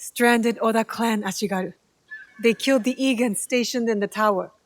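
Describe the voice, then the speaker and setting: A woman's voice: fairly high pitch, normal speed, close to an everyday voice. An actress playing a young female ninja in a video game, earnest, eager and determined, with concise delivery. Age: young adult. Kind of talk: video game cutscene acting.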